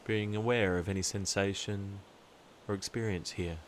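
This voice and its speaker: A deep-voiced man leads a guided meditation. He speaks calmly and deliberately, leaving long, soothing pauses between phrases. A soft, steady hiss sits behind the voice.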